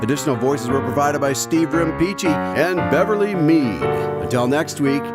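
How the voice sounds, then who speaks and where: Male actor as mild, lively-pitched radio drama guest. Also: normal speed, over loud music, close to an everyday voice. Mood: announcing. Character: mild, good-natured guest of the doctor. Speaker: narrator and credits announcer. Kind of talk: scripted radio drama acting and closing credits.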